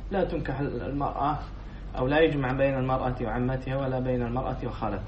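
A man's voice: medium pitch, fairly even intonation, very fast. A man teaching a class as a sheikh, reading lines from a text, explaining them and quizzing his students before repeating their answers. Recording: echoey room, distant dull mic, faint noise.

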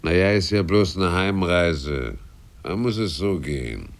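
Gravelly, whining, defiant sailor drifter. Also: male voice, deep voice, a little slow. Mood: sullen, defiant. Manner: aggrieved, cocky, slangy, swinging from grumble to outburst. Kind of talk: radio play acting.

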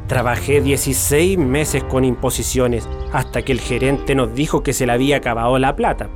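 A man's voice: medium pitch, fairly even intonation, normal speed, natural voice. A man with a Chilean accent playing a humble, resigned metalworker in a radio drama, hesitant and plain-spoken. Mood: reflective, resigned. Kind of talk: Spanish-language radio drama acting. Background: music.

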